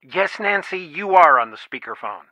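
A man's voice: high voice, big pitch swings, normal speed, pushed and bright, no room sound, full character voice. An actor playing a worried, protective fatherly man over a speakerphone in a video game. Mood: exasperated. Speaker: supporting character in a detective adventure game. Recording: dull band-limited speakerphone sound.

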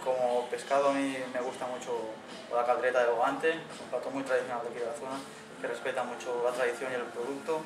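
A man, a head chef answering interview questions in a steady, measured way in a restaurant dining room. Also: medium pitch. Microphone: far camera mic. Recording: echoey room from far off, with background noise.

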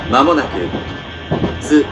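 A man's voice: slow, over loud music. A man reading a scripted train announcement formally, phrase by phrase. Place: train PA heard inside a moving carriage. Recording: distant onboard speakers, loud train noise.

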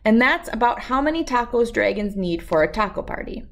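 A woman in her thirties reading a children's storybook aloud with expressive, emphatic delivery and dramatic pauses. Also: a little high. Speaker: read-aloud host.

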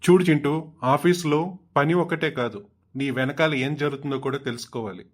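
A man voicing a composed, paternal company boss in a comedy cartoon, speaking in a measured and firm way. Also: on the high side, lightly acted. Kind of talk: Telugu comedy cartoon dialogue.

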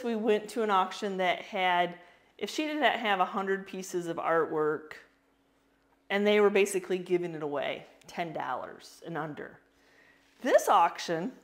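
Chatty woman showing her finds. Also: mid-range voice. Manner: chatty, rambling, with frequent fillers and laughs. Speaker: reseller showing auction finds. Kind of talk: casual vlog show-and-tell.

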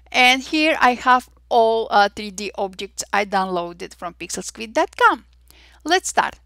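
A woman with an Armenian accent hosting a software tutorial, cheerful and chatty with frequent fillers and little exclamations. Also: on the high side, vocal fry, dry recording. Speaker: Photoshop tutorial host. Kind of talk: screen-recorded software tutorial.

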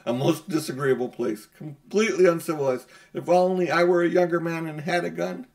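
Man as older storybook gentleman, pompous, fawning, sly, cowardly. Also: on the high side, fairly even intonation, fairly quick, vocal fry, pitched above the performer's own voice. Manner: pompous, obsequious.

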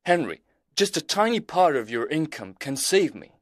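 A man voicing a desperate, excitable young man who pleads for help and bursts with joy. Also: on the high side, normal speed, no echo, studio quality, voice pushed up. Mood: pleading. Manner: pleading, breathless and overjoyed.

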